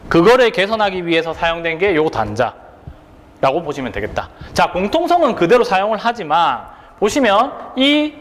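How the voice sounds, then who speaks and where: Man lecturing, high lively voice, asks and answers his own questions, long room reverb. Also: a little hiss or hum. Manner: rhetorical questions, frequent checks on students. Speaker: instructor. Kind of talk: exam prep lecture.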